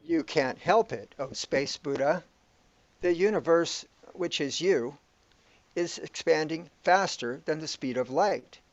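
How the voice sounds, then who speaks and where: A man acting in a radio play as a matter-of-fact companion who explains things deliberately. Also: fairly high pitch, dry recording, muffled recording. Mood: explaining.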